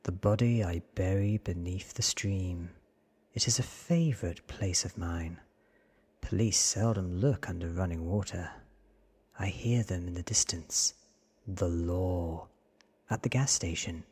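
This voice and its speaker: A man in his thirties reading a story aloud in a hushed, deliberate ASMR whisper, close on the microphone. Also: sits low. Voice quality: breathy whisper. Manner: hushed, deliberate, short measured phrases. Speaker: narrator reading a novel aloud. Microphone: close studio microphone, seen.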